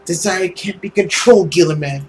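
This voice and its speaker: A man's voice: high-pitched, moderate pace, a little mellow, faint music behind, lightly acted. A man voicing a stern, principled woman living under a curse, speaking earnestly and reproachfully in a dramatic visual novel reading. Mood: firm, reproachful.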